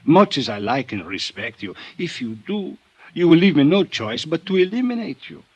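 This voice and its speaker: Florid, genteel radio crime boss. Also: male voice, a little high, big pitch swings, husky, dry recording, muffled recording. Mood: silky menace. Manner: florid, wheedling, formal speech with drawn-out endearments. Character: genteel, scheming foreign crime boss, falsely affectionate, menacing. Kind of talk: 1950s radio drama acting.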